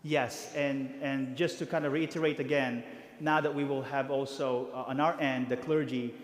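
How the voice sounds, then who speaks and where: A priest briefing parish volunteers, talking haltingly with many fillers and restarts. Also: male voice, mid-range voice, steady tone. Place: church hall. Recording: reverberant church hall.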